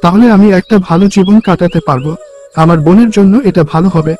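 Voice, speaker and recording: Man voicing an earnest high-pitched young cartoon brother. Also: fairly quick, darkish tone, highs cut off, lightly acted. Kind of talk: cartoon story dialogue.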